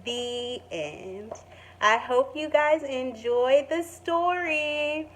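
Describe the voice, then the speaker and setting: A woman teacher reading a story aloud to young children in an expressive, dramatic and emphatic way. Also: high-pitched, very slow, a little hiss or hum.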